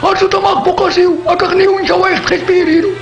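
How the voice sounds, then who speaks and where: A man's voice: very high voice, little pitch movement, a little fast. A man voicing a devout old man who prays and praises God in the temple, in a reverent tone. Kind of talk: radio drama acting. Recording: dull lo-fi sound with music.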